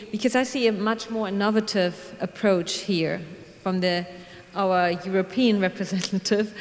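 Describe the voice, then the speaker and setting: A woman in her forties moderating a panel with probing questions, on a handheld microphone. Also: mid-range voice. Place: large conference room with audience. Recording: echoey hall with faint room noise.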